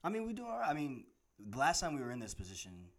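A man's voice: mid-range voice, wildly swooping pitch, fast, gravelly, fairly bright tone. A young man from Tennessee co-hosting a casual podcast, rambling and laid-back, laughing often. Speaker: podcast co-host. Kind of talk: casual chat. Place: apartment in the Bronx. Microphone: handheld recorder mics.

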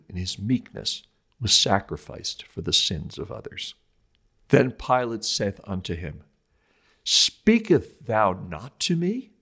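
A man with a lowish, gravelly, husky voice reads a religious commentary from a script. His phrasing is deliberate and oratorical, leaning firmly on key words like a preacher delivering an old sermon. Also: fairly bright tone.

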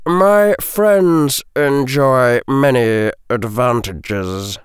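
A man voicing a cold, menacing potions master in a very soft, slow, guarded voice for an audiobook. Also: mid-range voice, animated, clear, resonant tone, no room sound, clean studio sound, voice pushed up. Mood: soft, threatening. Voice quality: very soft, hushed. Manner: slow, drawn-out, deliberate.

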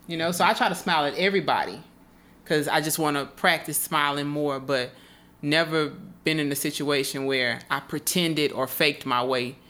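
Bright-toned woman in reflective chat. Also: deep voice, fairly even intonation, moderate pace. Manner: reflective, questioning, with restarts. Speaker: podcast co-host. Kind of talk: casual conversation.

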